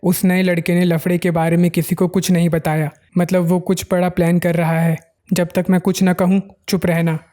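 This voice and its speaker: A brooding young gang member frets in silence and then snaps out curt orders, as a recap narrator puts him on. The voice is mellow and dark, close to the narrator's own. He sounds guarded and tight-lipped. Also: mid-range voice, little pitch movement, moderate pace, lightly acted. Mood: commanding. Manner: worried inner thoughts, then curt orders.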